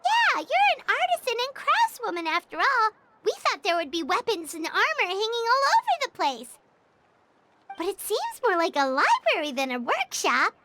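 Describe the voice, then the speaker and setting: A woman voicing a tiny, perky, childlike fairy companion in a video game, with a squeaky, excitable delivery. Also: normal speed, heavy background noise, heavily acted. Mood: curious. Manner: exclaiming, talks in third person. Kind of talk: scripted video game dialogue. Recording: loud game music behind.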